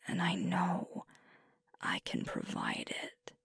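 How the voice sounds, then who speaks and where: A woman playing a sweet, earnest young werewolf pack leader in an intimate ASMR girlfriend roleplay, breathy and tender, close to the microphone. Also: fairly low pitch, very animated, a bit bright, no echo, full character voice. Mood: vulnerable, tender. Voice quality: breathy, whispery. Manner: slow, tender, hesitant, with pauses. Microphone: close microphone, dry.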